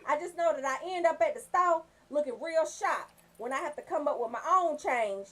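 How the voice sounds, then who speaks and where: An American woman hosting a comedic radio show, telling stories in an animated, folksy, profane way. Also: sits high, moderate pace. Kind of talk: comedic radio banter and storytelling.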